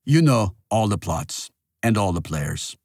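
Man voicing a theatrical, lecturing old monarch, wildly expressive. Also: lowish voice, measured pace, no room sound, clean studio sound. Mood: pressing, challenging. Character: forceful, pompous male artificial monarch, centuries old, morally certain.

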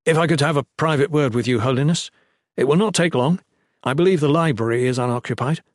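A man's voice: medium pitch, fairly quick, no echo, studio quality, lightly acted. A man voicing a distressed, contrite young male protector who pleads in formal, courtly wording for a storybook. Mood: urgent pleading.

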